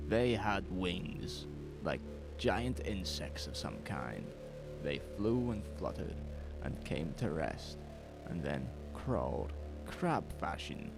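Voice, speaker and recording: A man narrating a horror audio drama in a suspenseful, deliberate way, with dramatic pauses. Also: low voice, gravelly, low-quality recording. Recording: music under the voice. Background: music.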